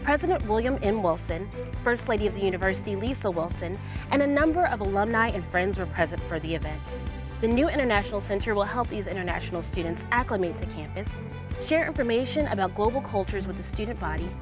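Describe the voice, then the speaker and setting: A woman's voice: medium pitch, lively intonation, fast, music in the background. A woman interviewer and presenter for a university, reading a polished script and asking questions in an upbeat way.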